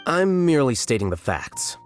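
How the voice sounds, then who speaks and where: An actor playing an aloof, coolly logical young scholar in a video game, measured, articulate and deadpan. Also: male voice, mid-range voice, soft background music, close to an everyday voice. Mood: detached, matter-of-fact.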